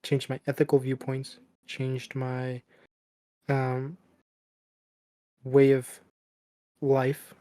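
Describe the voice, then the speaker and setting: Young dude on a podcast, flat monotone delivery, kinda dark mellow voice, dry close mic. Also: male voice, mid-range voice, very slow, long pauses, dry recording. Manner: monotone, deadpan. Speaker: podcast co-host. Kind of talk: casual chat.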